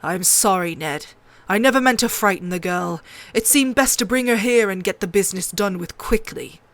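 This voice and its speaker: A woman's voice: mid-range voice, moderate pace, a bit bright, lightly acted. A woman playing an irritable, commanding bearded king in a fantasy audiobook. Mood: apologetic.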